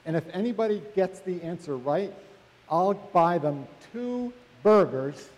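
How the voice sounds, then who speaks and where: Man, speaking to congregation, playful, teasing, high-pitched, mellow, long church reverb. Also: expressive, measured pace. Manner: playful, teasing quiz-giver. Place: church sanctuary.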